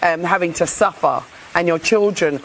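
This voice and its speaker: A woman's voice: on the low side, normal speed, slight background noise, low-quality recording. A woman from England, interviewed in casual chat, speaking emphatically, repeating her points and laughing readily.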